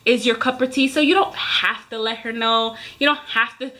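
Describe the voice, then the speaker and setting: A woman's voice: on the high side, steady tone, normal speed, pushed and bright. A woman in her thirties speaking casually and emphatically to viewers, like a vlogger airing a rant. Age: 30s. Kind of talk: casual ranting chat to viewers.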